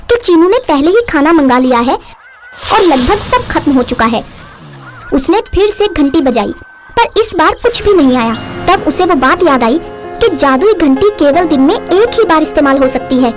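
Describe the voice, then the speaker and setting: A woman narrates a children's story from a script. Her voice is high in pitch, and music plays clearly behind her.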